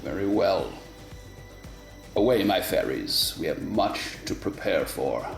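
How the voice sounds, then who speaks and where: A man playing a proud, menacing lord of the dark fairies in a radio play, speaking slowly and grandly with disdain. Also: low voice, expressive, vocal fry, reverberant, soft background music, full character voice. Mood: grand, commanding. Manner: slow, grandly theatrical, disdainful.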